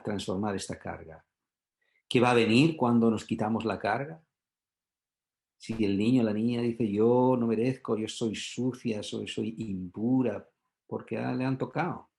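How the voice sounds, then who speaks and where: A man's voice: fairly low pitch, creaky, darkish tone. A man giving an explanatory, teacherly talk, often checking in with short rhetorical questions. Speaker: guest expert, psychotherapy trainer and author.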